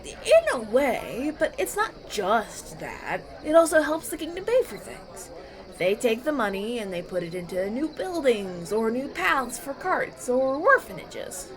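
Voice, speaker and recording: A man's voice: very high voice, very animated, a little slow, exaggerated voice. A man playing a polite, nervous young human farm boy in a fantasy audio roleplay, earnest and hesitant. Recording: banquet crowd chatter behind.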